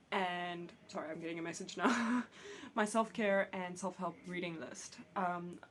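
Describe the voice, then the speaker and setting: Woman vlogger, lower voice, a bit phlegmy from a cold, casual and chatty, faint hum behind. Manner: chatty, candid, restarts and swears casually. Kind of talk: casual talk to camera. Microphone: camera mic, reading with books on her lap.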